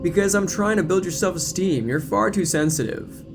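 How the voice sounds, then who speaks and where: A man's voice: sits high, moderate pace, creaky, music in the background, voice pushed up. Man playing a cocky, condescending teenage bully. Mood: mocking. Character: domineering, cocky teenage boy, mocking bully.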